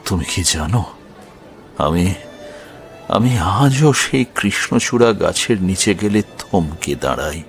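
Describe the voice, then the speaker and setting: Wistful middle-aged lover for romantic audio drama, male actor: deep, slow, tender, halting delivery. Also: exaggerated voice. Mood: aching regret. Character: wistful middle-aged man meeting his lost love.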